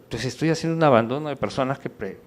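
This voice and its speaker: A man lecturing in public, didactic and rhetorical, with deliberate pauses, emphasis and questions to check that listeners follow. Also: mid-range voice. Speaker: conference speaker.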